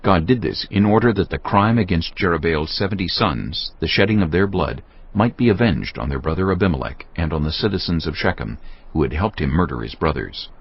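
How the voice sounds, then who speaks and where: A man's voice: low-pitched, animated, a little fast, slightly rough. A man narrating a dramatised audio Bible in a solemn storytelling manner. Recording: muffled, band-limited audio with music. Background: music bed.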